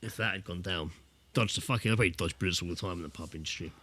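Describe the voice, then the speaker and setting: A British man chats casually as a podcast co-host. His delivery is fast and bright, full of loose swearing and quoted asides. The sound is close and dry. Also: deep voice, no echo. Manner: sweary, animated storytelling with acted-out quotes. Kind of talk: casual chat, telling pub anecdotes. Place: remote online call from a dry-sounding room.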